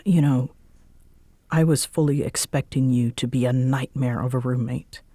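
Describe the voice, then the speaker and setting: A woman acting a guarded, grudgingly warm and easily flustered young tsundere roommate in a roleplay audio drama, with halting, wry delivery. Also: extremely low pitch, steady tone, no echo. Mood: grudgingly warm, teasing.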